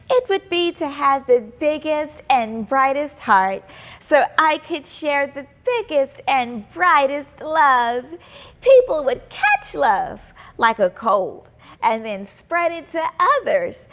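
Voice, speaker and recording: A kind, imaginative little schoolgirl from a storybook, played by a woman, thinks out loud with eager wonder. Her voice sits high and swings widely up and down as she exclaims over each idea. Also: heavily acted. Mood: earnest. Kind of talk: children's storybook character voice.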